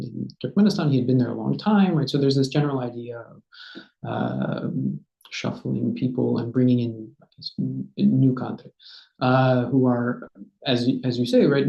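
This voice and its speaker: Historian, thirties, male: measured lecturer, mellow voice, dull roomy webcam sound. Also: mid-range voice, gravelly. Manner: measured lecturing with frequent "uh" and "right?". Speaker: historian, guest speaker. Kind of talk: academic talk answering a question. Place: home study with bookshelves. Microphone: laptop webcam on video call. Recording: dull, roomy video-call audio.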